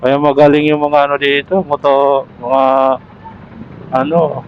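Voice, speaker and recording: A man's voice: a little high, monotone, measured pace, muffled recording, a little hiss or hum. A man chatting casually as a motorcycle vlogger through a helmet intercom while riding. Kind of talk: casual riding vlog chat. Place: outdoors, riding a motorcycle in traffic.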